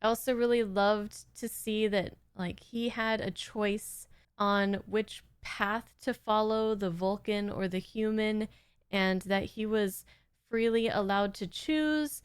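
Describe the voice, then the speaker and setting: A woman's voice: medium pitch, steady tone, slow pace, clear, resonant tone, no echo, studio quality. A woman hosting a reaction video, chatting casually in an appreciative, thoughtful manner. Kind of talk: casual, reflective chat.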